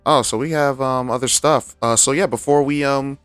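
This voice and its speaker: A man's voice: mid-range voice, steady tone, moderate pace, fairly bright tone, smooth, no room sound, faint music behind. A man streaming a game, offhand and casual, musing aloud as he comments into a close microphone.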